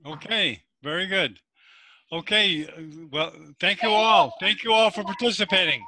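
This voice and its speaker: A man hosting an online neurosurgery meeting, chatty and quick to repeat short words. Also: sits high, bright, forceful tone, a little hiss or hum, poor audio. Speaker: host of a neurosurgery webinar. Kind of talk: casual chat.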